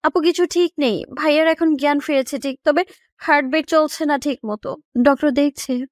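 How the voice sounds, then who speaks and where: A woman's voice: high voice, steady tone, moderate pace, no echo, clean studio sound, lightly acted. A woman playing an anxious, pleading younger sister in an audio story. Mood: distressed. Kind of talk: audio story character acting.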